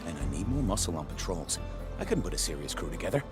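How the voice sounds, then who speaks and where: An actor playing a gruff, stubborn ex-military patrol guard in a video game, blunt and emphatic. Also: male voice, sits low, big pitch swings, quick pace. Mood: uneasy. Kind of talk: dramatic video game cutscene acting. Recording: music behind the voice.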